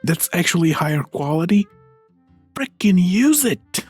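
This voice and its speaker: A man with a gravelly, husky voice giving informal game playthrough commentary, reacting and thinking aloud. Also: high voice, very animated, measured pace, a little mellow, dry recording. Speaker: game streamer. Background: game music.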